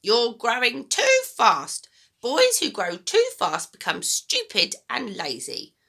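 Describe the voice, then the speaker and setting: A woman's voice: fairly high pitch, wildly swooping pitch, slow, creaky, pushed and bright, voice pushed up, heavily acted. A woman voicing a grumpy, selfish old grandmother in a children's storybook, scolding and snapping.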